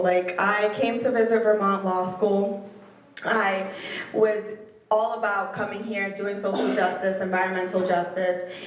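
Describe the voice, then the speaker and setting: A woman from the Dominican Republic and New York City gives an informal personal testimonial to an audience, telling it as animated storytelling with quoted dialogue. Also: mid-range voice, a little hiss or hum. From Dominican Republic, later New York City. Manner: animated storytelling, quoting herself and others. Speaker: law student ambassador speaker. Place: large indoor lecture hall, speaking into handheld microphone. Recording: echoey hall, distant camera mic.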